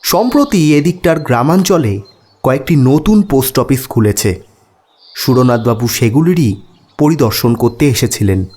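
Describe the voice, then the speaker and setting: A man narrating an audio story as its host, in a measured, dramatic and expressive storytelling manner. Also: mid-range voice. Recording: background music under voice.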